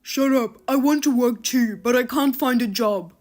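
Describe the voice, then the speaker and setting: A woman's voice: on the high side, moderate pace, a little mellow, lightly acted. A woman voicing an unemployed husband who is lazy and defensive, answering in an irritable, dismissive way.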